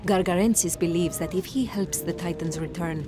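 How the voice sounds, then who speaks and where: A woman plays a commanding warrior priestess in a game cutscene. Her voice sits low and she speaks with grave, formal measure. Faint music plays underneath. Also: moderate pace, lightly acted. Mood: solemn storytelling. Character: solemn, commanding Egyptian warrior priestess of Osiris. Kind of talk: dramatic video game cutscene acting.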